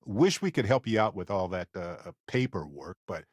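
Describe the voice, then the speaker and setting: An actor playing a wry, affectionate, talkative space explorer companion in a video game, with a playful, expressive, conversational delivery. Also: male voice, deep voice, normal speed, breathy edge, no echo, clean studio sound, heavily acted. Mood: awkward, playful.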